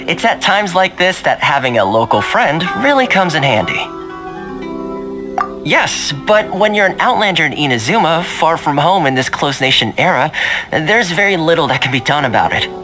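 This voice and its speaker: A man playing a suave, easygoing young fixer in a video game, talking in a smooth, wry, persuasive way. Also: fairly high pitch, sing-song, moderate pace. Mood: explanatory. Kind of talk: video game dialogue acting. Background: game music.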